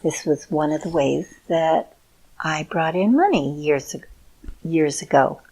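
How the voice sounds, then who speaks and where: A middle-aged woman speaks to her viewers with slow, soothing encouragement. Her voice sits low and carries a gravelly, husky texture. She takes her time over every phrase. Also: a little mellow, poor audio. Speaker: video host. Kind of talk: heartfelt personal talk to viewers.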